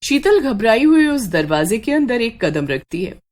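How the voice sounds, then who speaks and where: A woman reading scripted horror narration as a dramatic, measured storyteller. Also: a little high, very animated, darkish tone.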